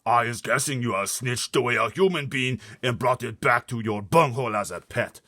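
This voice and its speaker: A man voicing a huge, bullying, man-eating giant in a storybook, booming out threats and mangled words. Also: moderate pace, sharp tone, no echo. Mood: menacing, gloating. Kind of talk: storybook read-aloud character voice.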